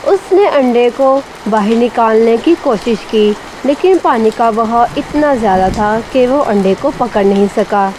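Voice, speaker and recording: A woman's voice: a little high, lively intonation, a little slow, few pauses. A woman narrating a scripted children's story. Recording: faint rain and background noise.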